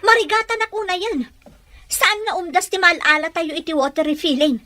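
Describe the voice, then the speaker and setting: A woman's voice: very high voice, a little fast, pushed and bright. A woman with a Filipino accent playing a frustrated, defiant wife in a radio soap drama. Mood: fed up.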